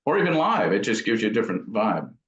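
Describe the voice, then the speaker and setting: A man talking casually as an interviewed amp builder, explaining technical details informally in a thoughtful, halting way. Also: fairly low pitch, big pitch swings, fairly bright tone. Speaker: amp builder and company founder being interviewed. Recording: dull lo-fi sound in an echoey room.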